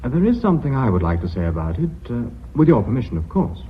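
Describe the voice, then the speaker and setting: An actor playing a cautious, principled company director in a radio drama, formal, clipped and grave. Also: male voice, medium pitch, very animated, fast talker, slight background noise, natural voice. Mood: grave, resolute. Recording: dull, muffled radio recording.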